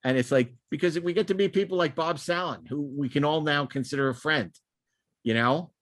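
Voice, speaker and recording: A man's voice: a little high, fairly quick, fairly bright tone, no echo. Rambling male podcast host, dry close sound. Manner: rambling, with repeated words and stop-start phrasing; enthusiastic. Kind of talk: casual podcast chat and sign-off.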